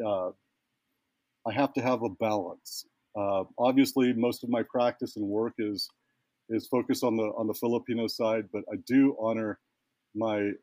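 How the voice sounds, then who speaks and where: A man's voice: mid-range voice, darkish tone, dry recording. Thoughtful man telling a long story. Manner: thoughtful, meandering, trails off and restarts. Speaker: guest, ritual tattoo practitioner. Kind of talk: storytelling in a conversation.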